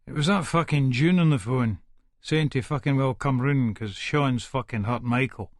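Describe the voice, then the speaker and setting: A man's voice: medium pitch, moderate pace, dry recording, clean studio sound, lightly acted. An actor playing a short-tempered, foul-mouthed Scottish hard man, narrating aggressively and colloquially in an Edinburgh accent for an audiobook. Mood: irritable, scornful. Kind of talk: first-person audiobook narration, dramatic.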